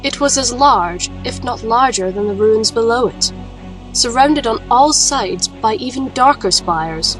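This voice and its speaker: A woman playing a grave female alchemist who recounts her quest in measured, dramatic audio drama narration. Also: fairly high pitch, lively intonation, soft background music, rough recording. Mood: solemn. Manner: measured, solemn storytelling. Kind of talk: dramatic scripted first-person narration.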